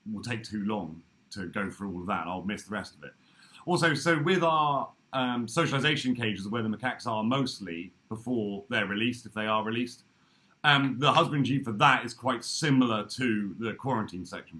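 A man in his thirties giving a relaxed, chatty workshop talk over a video call from an office room, with frequent ums. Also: medium pitch. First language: English. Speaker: workshop speaker. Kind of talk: casual presentation. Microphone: laptop webcam video call.

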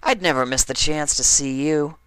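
A man voicing a brash, cocky young female pegasus pony, loud and impatient. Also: on the high side, normal speed, clean tone, no room sound, pitched above the performer's own voice, natural voice. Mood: flustered.

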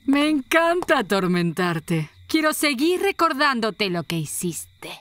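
A woman with a Latin American accent playing a haughty, vindictive, contemptuous demon wife in an animated fan dub. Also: high-pitched, takes it slowly, background noise, full character voice. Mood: scornful. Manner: sharp, contemptuous, imperious.

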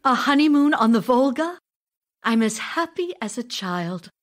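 Slow, ardent stage actress in love. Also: female voice, on the high side, sing-song. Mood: joyful. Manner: emotional, theatrical, deliberate phrasing. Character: Olga Knipper, ardent Russian stage actress and lover. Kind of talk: audio drama acting, letters read as speech.

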